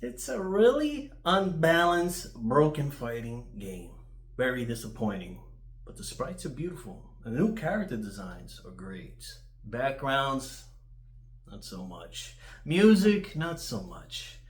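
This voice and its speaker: A man's voice: medium pitch, big pitch swings, slow pace. A man telling stories as a gaming YouTuber in an informal, blunt, sweary, rambling way. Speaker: YouTuber telling stories about video games. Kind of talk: casual rambling storytelling. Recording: roomy, echoey sound.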